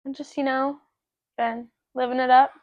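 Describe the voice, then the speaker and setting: A woman's voice: sits high, level tone, normal speed, a little mellow, dry recording, muffled recording. An eighteen-year-old woman chatting informally as a podcast co-host, often dropping "like" into her sentences. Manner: informal, heavy use of "like". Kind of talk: casual podcast chat.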